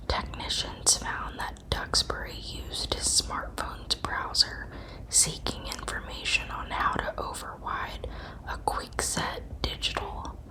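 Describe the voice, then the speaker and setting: A woman whispering a scripted true crime narration in ASMR style, with a hushed, measured, factual manner. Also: poor audio.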